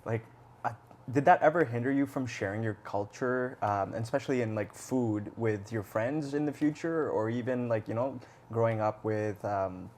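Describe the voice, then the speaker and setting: A man hosting a podcast, asking long, rambling questions in a relaxed way. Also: mid-range voice, moderate pace, a little hiss or hum. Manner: rambling questions, easy laughs. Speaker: podcast host. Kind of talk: casual interview questions.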